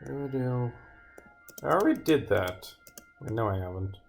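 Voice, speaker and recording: Man, game developer, live stream commentary, very lively swinging pitch, muttered asides, light music behind. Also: a little slow, vocal fry. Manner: animated, muttering asides and reading dialogue aloud. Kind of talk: live stream commentary while playtesting own visual novel.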